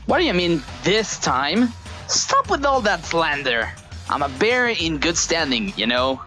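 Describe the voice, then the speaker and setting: A woman's voice: deep voice, big pitch swings, a little slow, pushed and bright, soft background music, exaggerated voice. A woman voicing a gleeful, mocking villainous bear mascot in a theatrical, taunting anime fandub. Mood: mock indignant. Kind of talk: anime game fandub voice acting.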